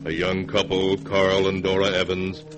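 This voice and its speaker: An actor narrating a 1940s radio horror drama as an ominous storyteller, solemn, measured and theatrical. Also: male voice, deep voice, monotone, close to an everyday voice. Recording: 1940s radio recording, music behind.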